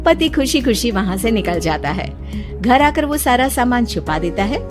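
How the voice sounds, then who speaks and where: A woman's voice: fairly high pitch, very animated, normal speed. A woman narrating a scripted story as its storyteller. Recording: music behind the voice. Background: music.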